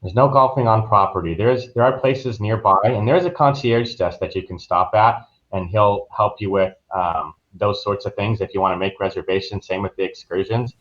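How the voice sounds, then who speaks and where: A man's voice: on the low side, normal speed, hardly any pauses, fairly bright tone, muffled recording. A man giving casual, easygoing, anecdotal answers as an interview guest. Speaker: travel agent, interview guest.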